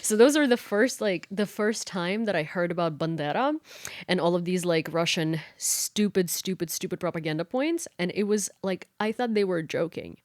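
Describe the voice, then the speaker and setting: A young woman from Ukraine, co-hosting a casual podcast and telling a story in an animated way, full of "like". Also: lowish voice, normal speed, no echo, clean studio sound. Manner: animated storytelling, lots of "like" and laughing. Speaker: podcast co-host. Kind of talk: casual chat.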